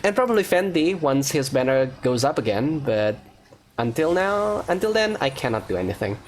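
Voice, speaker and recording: Animated man doing casual gaming commentary. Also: medium pitch, normal speed. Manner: informal, thinking aloud. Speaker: gaming video host. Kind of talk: casual let's-play commentary. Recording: faint background noise.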